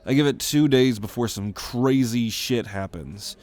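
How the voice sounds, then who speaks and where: A man's voice: mid-range voice, a little slow, darkish tone, no echo, lightly acted. A man voicing a sardonic, foul-mouthed young student in an exasperated drawl for an ASMR roleplay audio drama. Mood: grim, exasperated. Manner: exasperated, sarcastic, swearing drawl.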